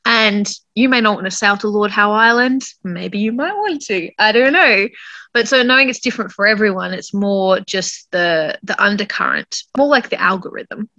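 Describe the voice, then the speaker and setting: A young woman, talking reflectively to camera in a casual vlog, with many likes and lively hand gestures. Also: mid-range voice, moderate pace, no room sound, lo-fi sound. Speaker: vlogger.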